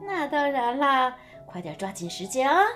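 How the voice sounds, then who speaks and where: A woman's voice: sits high, sing-song, moderate pace, a bit bright. A woman playing a fussing, coaxing middle-aged mother in a radio drama, with an exclamatory way of talking. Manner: nagging, exclamatory. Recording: music under the voice.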